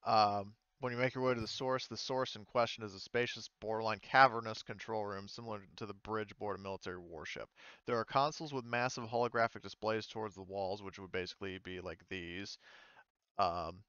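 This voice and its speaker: Male game master, low and clean-voiced, informal tabletop narration on a close, slightly dull mic. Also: fairly quick, sharp tone, clear, resonant tone, no echo. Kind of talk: casual game narration with read-out descriptions.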